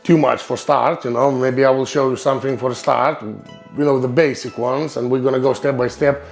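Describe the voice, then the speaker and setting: A man's voice: medium pitch, steady tone, normal speed, vocal fry, faint music behind. A man from Lithuania with a Lithuanian accent, hosting his cooking channel in English, which is not his first language, searching for words with many ums and restarts. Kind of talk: casual cooking vlog.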